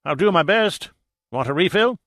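Audiobook sea captain, played by a man: hearty, blunt seasoned diver, salty turns of phrase, lifted pitch, very dry sound. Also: lively intonation, normal speed, a bit bright, no room sound. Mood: gruffly appreciative. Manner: colloquial, salty, vivid storytelling. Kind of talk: audiobook character dialogue.

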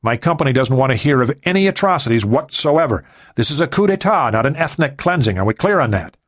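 A man's voice: mid-range voice, very animated, fast talker, voice pushed up. A man voicing a curt male mercenary commander who gives clipped, authoritative orders. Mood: commanding.

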